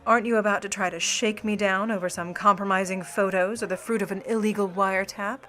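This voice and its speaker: An actress playing a poised, mischievous 1950s starlet with a secret sideline in burglary, cool, teasing and formal in old radio play style. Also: female voice, mid-range voice, steady tone, brisk, sharp tone, soft background music, lightly acted. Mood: arch, dismissive.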